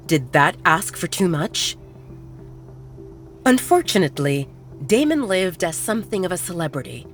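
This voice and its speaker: Woman narrating a werewolf romance audiobook. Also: sits low.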